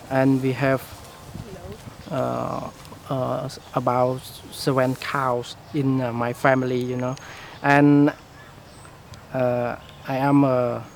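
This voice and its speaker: A man's voice: mid-range voice, vocal fry, a little hiss or hum. A man in his twenties with a Khmer accent, hesitant and full of fillers in a documentary interview. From Cambodia. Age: 20s. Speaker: former student interviewee.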